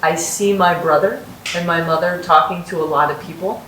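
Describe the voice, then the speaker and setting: Upbeat lower-voiced woman hosting. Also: steady tone, moderate pace, fairly bright tone. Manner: upbeat, encouraging, storytelling. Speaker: host and company founder. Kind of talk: casual podcast chat, mentoring students. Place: house in North Las Vegas, room with echo. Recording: roomy echo, distant mic, faint hiss.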